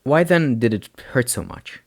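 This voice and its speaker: Expressive male story narrator. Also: mid-range voice, normal speed. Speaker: amateur audiobook reader.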